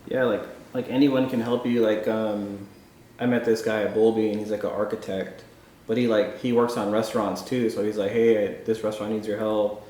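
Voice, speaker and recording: A man's voice: lowish voice, flat delivery, normal speed, dark tone, a little hiss or hum. Rambling young-sounding man, very echoey. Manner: rambling storyteller, heavy use of "like". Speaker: interviewer, food content creator. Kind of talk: casual conversation.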